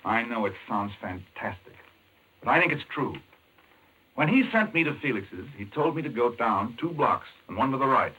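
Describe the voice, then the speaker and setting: An actor playing an intense, urgent doctor in a 1940s American radio mystery, explaining things emphatically. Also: male voice, mid-range voice, sing-song, moderate pace, sharp tone, husky, heavily acted. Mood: fascinated, grave. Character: intense, obsessed male chess-playing doctor explaining a madman's scheme. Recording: muffled 1940s radio recording.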